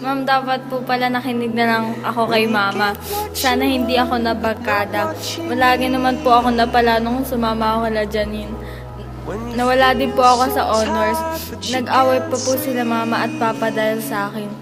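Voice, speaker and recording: A woman acts as a troubled, obedient teenage schoolgirl in an amateur radio drama. Her voice sits high, and she comes across as lonely and regretful. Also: steady tone, a little fast, over loud music, lightly acted.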